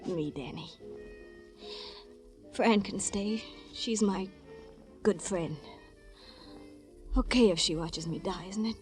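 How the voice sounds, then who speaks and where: Actress as a slow, fading dance-hall girl. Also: mid-range voice, natural voice. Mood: weak, resigned. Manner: halting, languid, weak. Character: dying dance-hall girl, wistful and resigned. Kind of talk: 1950s radio noir acting. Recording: old radio recording, faint music.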